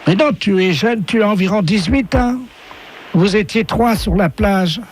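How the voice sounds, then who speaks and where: A man's voice: high-pitched, pitched above the performer's own voice. A man voicing a plaintive, lovesick dolphin in a hesitant, earnest way on a prank phone call. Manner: slow, plaintive, hesitant declarations. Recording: phone prank recording with faint noise.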